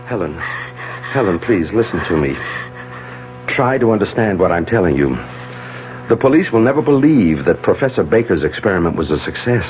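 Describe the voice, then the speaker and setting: Anguished, earnest man with swooping pitch. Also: very animated, slight background noise. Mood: grave, persuading. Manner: urgent, halting, emphatic. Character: anguished, earnest man protecting a troubled woman. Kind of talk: 1970s radio drama acting. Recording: dull 1970s AM radio broadcast, faint music.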